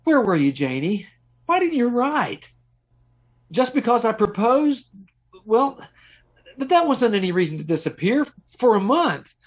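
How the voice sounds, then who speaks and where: A man's voice: very high-pitched, big pitch swings, a little slow, pauses often, exaggerated voice. A man playing an eager, lovestruck young suitor in 1940s radio drama style, earnest, pleading and stammering. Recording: dull lo-fi remote call audio.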